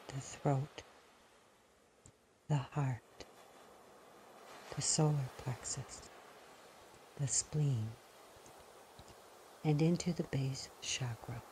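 A woman leading a slow guided meditation in a breathy, husky voice that often nears a whisper, pausing between short phrases. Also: extremely low pitch, dark tone, a little hiss or hum, poor audio.

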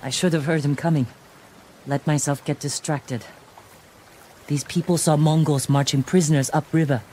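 A woman's voice: sits low, steady tone, normal speed, warm tone, a little hiss or hum, natural voice. An actress playing a tough, pragmatic female survivor in a video game, blunt and intense but restrained. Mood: tense focus. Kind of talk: dramatic video game acting.

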